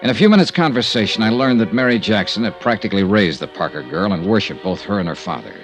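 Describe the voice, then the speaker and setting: Old time radio american detective, gravelly, swingy delivery, polite but probing. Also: male voice, fairly low pitch, animated, fast. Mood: wry narrating. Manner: probing, smooth, clipped hard-boiled delivery. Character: shrewd, courteous hard-boiled male insurance investigator. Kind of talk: 1950s radio detective drama, first-person narration. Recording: vintage radio recording with music.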